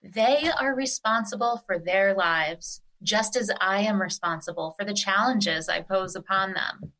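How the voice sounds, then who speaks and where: A wise earth mother in an audio drama, played by a woman. She has a bright, clear-cut voice of middle pitch and speaks formally, with calm authority and reassurance. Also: measured pace, low-quality recording. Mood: reassuring, wise. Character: wise, nurturing female earth spirit, calmly authoritative. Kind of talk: theatrical audio drama acting.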